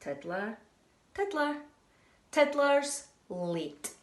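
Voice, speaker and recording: Woman doing a strict fish teacher calling names, brisk, a bit high, echoey. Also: sing-song, slight background noise, exaggerated voice. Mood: brisk roll call. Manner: brisk, questioning roll call. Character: strict female fish schoolteacher calling the register. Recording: echoey room.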